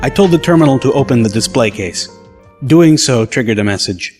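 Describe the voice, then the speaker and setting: A man's voice: medium pitch, animated, brisk. A man reading scripted first-person story narration as an audiobook narrator. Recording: loud music bed under narration. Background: music.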